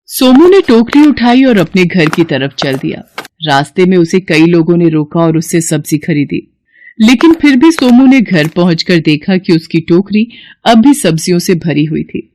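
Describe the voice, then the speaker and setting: A woman's voice: on the low side, sing-song, normal speed, darkish tone, a little hiss or hum. A woman narrating a scripted children's bedtime story as the storyteller.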